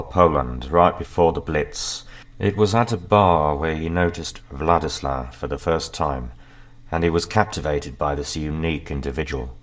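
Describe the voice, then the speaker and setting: Very deep-voiced man narrating. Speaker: narrator introducing an audio story. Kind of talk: scripted narration, presenting a character profile.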